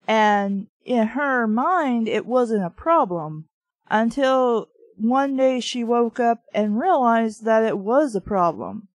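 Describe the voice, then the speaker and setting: A woman's voice: a little high, dry recording. A woman giving casual, unscripted critical commentary as a book reviewer, blunt, opinionated and prone to swearing.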